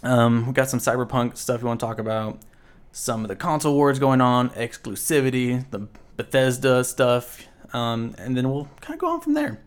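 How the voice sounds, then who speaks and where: A man's voice: medium pitch, expressive, moderate pace. A man in his twenties hosting a casual gaming podcast, easygoing and teasing, often repeating words as he restarts. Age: 20s. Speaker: podcast host.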